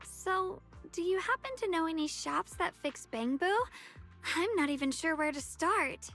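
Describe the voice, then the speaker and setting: A woman's voice: extremely high pitch, measured pace, a bit bright, soft background music, heavily acted. A woman voicing an earnest, trusting young woman in a game, speaking eagerly and a little flustered.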